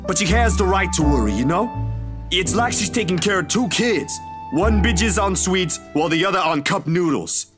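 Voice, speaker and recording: Young visual novel dude, kinda high voice, naggy but caring friend, guitar music behind. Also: male voice, expressive, normal speed. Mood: teasing reproach. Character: Zachary, caring young man, gently scolding friend.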